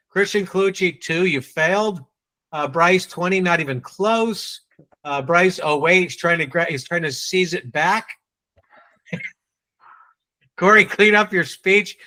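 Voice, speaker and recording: A man with a New England accent leading a live online sales training, quizzing and teasing his audience in a brisk way. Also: high-pitched, bright, forceful tone.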